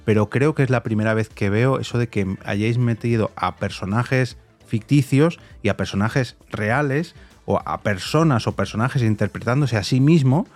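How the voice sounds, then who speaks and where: A man's voice: mid-range voice, moderate pace, a little mellow, faint music behind. A man with a Castilian Spanish accent hosting a conversational interview, informal and reflective, repeating phrases for emphasis. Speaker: podcast host, interviewer.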